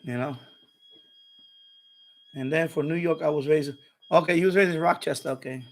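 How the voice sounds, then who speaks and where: A man's voice: a little high, steady tone, normal speed. A middle-aged man from Puerto Rico chatting casually on a livestream, rambling and often repeating himself. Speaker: live streamer, radio hobbyist.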